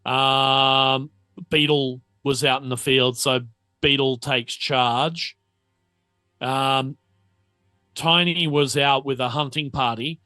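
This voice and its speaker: A man narrating a tabletop roleplaying game as game master, haltingly piecing the scene together aloud. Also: mid-range voice, steady tone, pushed and bright, smooth, no room sound.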